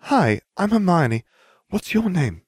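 Man doing an earnest, high-pitched schoolgirl. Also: big pitch swings, fairly quick, no echo, clean studio sound. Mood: concerned. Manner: earnest, softly insistent. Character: earnest, bookish twelve-year-old schoolgirl, concerned and precise.

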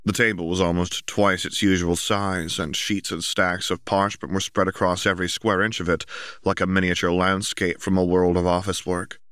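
A man's voice: low voice, flat delivery, normal speed, a bit bright, smooth, no room sound, studio quality. A man reading scripted story narration as an audiobook narrator.

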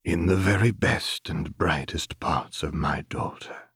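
A man voicing a gentle, soothing middle-aged father who speaks in a hushed, tender, deliberate way in an audiobook. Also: very deep voice, husky, no room sound, studio quality, exaggerated voice. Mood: tender, grave.

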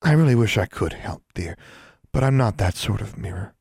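A man's voice: on the low side, expressive, normal speed, gravelly, darkish tone, slightly rough, dry recording, clean studio sound, exaggerated voice. A man voicing a fussy, scolding magic mirror in a storybook. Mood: apologetic. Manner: scolding, brittle. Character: fussy talking mirror, motherly, prim.

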